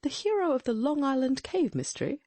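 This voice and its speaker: A woman voicing a keen, commanding male detective with a brisk, inquisitive, exclamatory manner in an audiobook. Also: high voice, big pitch swings, dark tone, dry recording, voice pushed up.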